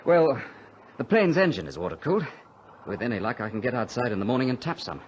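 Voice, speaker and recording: An actor playing a level-headed, commanding pilot leading crash survivors in a radio drama, firm and reassuring. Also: male voice, mid-range voice, animated, fast, slight background noise, natural voice. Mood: wry, practical.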